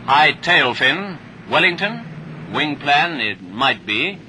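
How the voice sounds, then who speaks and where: A man's voice: fairly high pitch, very animated, takes it slowly, heavy background noise. A British man narrating a scripted 1940s wartime training film in a jaunty, wry, clipped manner, on a vintage soundtrack.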